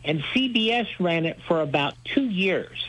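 Higher-voiced man, folksy radio guest. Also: animated, moderate pace, fairly bright tone, low-quality recording. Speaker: radio show host being interviewed as guest. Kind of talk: enthusiastic interview talk about old-time radio.

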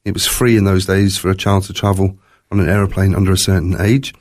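A man's voice: low voice, flat delivery, fast talker, a little mellow. A man with a faint Scottish accent telling his personal story as an interview guest, in a reflective, conversational way. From born in Scotland, moved to South Africa at three.

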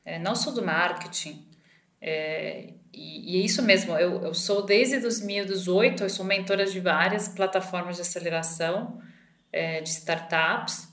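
A woman's voice: mid-range voice, fairly bright tone. A middle-aged woman from Argentina with a light Argentine Spanish accent, answering interview questions thoughtfully with frequent hesitations and restarts. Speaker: marketing director guest. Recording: roomy echo.

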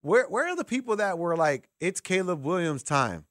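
A man's voice: high voice, very animated, normal speed, fairly bright tone, no room sound, studio quality. A man hosting a sports call-in radio show, talking casually and giving opinions, repeating words for emphasis. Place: radio studio. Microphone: close studio mic.

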